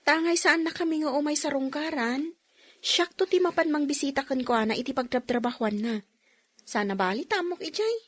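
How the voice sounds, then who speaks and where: A woman's voice: high-pitched, a little fast, no room sound, lightly acted. A woman playing a dutiful eldest daughter in a radio drama, speaking earnestly and pleadingly to her family. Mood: confiding. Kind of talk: radio drama acting in Ilocano.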